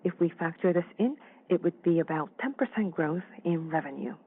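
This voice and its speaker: A speaker interpreting a formal financial results presentation on a conference call, with measured, halting phrasing. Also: medium pitch, expressive, slight background noise. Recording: dull, band-limited phone-line audio.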